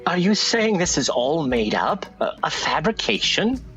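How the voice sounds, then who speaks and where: Male actor as anxious 1920s doctor, fairly high pitch, expressive, stammering, radio drama, faint music. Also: unhurried, dull audio. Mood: puzzled, concerned. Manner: stammering, earnest. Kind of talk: dramatic radio play acting.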